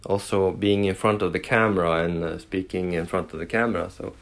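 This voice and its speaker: A man from Norway with a Norwegian accent, speaking English as a second language while hosting a casual solo podcast. He pauses often, with many fillers and restarts. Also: low voice, fairly even intonation, creaky. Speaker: podcast host.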